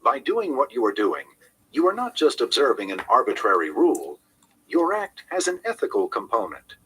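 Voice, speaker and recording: A man reading scripted audiobook narration in a measured, articulate way with acted emphasis. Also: mid-range voice, background noise.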